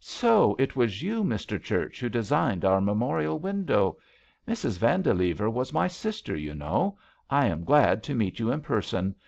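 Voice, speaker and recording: Bluff male banker, voiced by a man narrating, hearty, commanding, lively pitch, storybook read, slightly muffled. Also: vocal fry. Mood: genial. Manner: brisk, hearty, clipped phrases.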